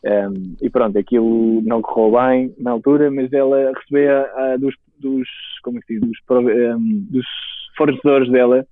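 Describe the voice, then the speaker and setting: A man's voice: mid-range voice, steady tone, normal speed, dry recording. A man in his twenties from Spain with a Spanish accent, speaking Portuguese as a guest entrepreneur, hesitant with many restarts. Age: 20s. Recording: tinny phone-like line.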